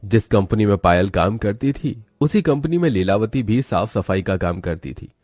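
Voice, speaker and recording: A man narrates a scripted moral tale. His voice sits a little low and has a soft, dark tone. The recording is close and dry. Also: animated, moderate pace, dry recording.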